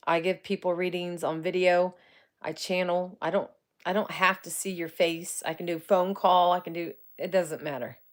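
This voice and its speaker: A woman in her forties, a psychic medium, chatting casually with viewers on a livestream. She often laughs, greets people by name and uses colloquial grammar. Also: on the low side. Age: 40s. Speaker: psychic medium and paranormal live streamer. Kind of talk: casual livestream chat answering viewer comments.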